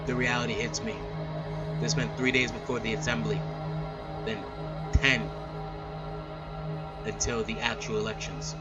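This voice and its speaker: Male let's player, mid-pitched, reading game narration aloud with casual asides, clear music bed. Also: level tone, a little slow, long pauses, muffled recording. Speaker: let's play host. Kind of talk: reading a visual novel aloud with casual commentary.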